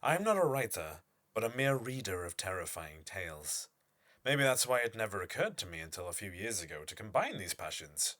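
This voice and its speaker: An actor playing a pompous, obsessive typeface designer, delivering a formal, theatrical monologue for an audio horror drama. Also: male voice, fairly low pitch, very animated, a little fast, a bit bright. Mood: fervent, earnest.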